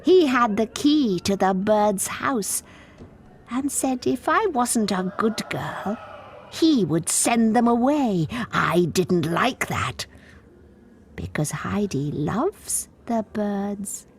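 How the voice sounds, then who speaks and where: A woman's voice: mid-range voice, expressive, takes it slowly, slight background noise. A woman playing a childlike, disturbed asylum patient in a video game, plaintive and confiding. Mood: hushed, resentful. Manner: childish, plaintive, drifting into breathy confiding whispers. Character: childlike disturbed female asylum patient devoted to her doll.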